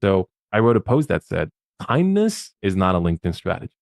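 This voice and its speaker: Low-voiced emphatic man giving advice. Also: very animated, a little fast, gravelly, darkish tone, dry recording, studio quality. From Bosnia. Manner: emphatic, punchy, often repeats words. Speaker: podcast guest, social media advisor. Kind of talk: casual advice talk.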